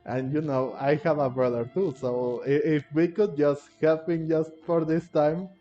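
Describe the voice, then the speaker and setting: A man's voice: a little high, steady tone, unhurried, warm tone. A man giving casual, rambling let's play commentary, often repeating himself. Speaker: gaming video creator. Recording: dull, lo-fi microphone. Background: game music.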